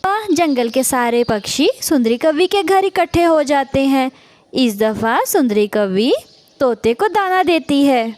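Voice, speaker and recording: A woman's voice: sits high, animated, unhurried, darkish tone, dry recording. A woman narrating a scripted cartoon story as its storyteller.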